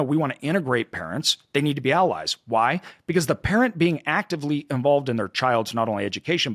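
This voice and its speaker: A man's voice: mid-range voice, fairly bright tone, dry recording. Emphatic man, dry close sound. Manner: emphatic, critical, rhetorical questions. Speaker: podcast co-host. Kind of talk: opinionated casual discussion.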